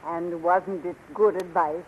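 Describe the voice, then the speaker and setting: A woman's voice: fairly low pitch, steady tone, a little slow, close to an everyday voice. An actress playing a shrewd, regal queen who questions people theatrically in a 1930s radio serial. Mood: challenging. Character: imperious, shrewd young queen of a fantasy realm. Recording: muffled, noisy 1930s radio recording.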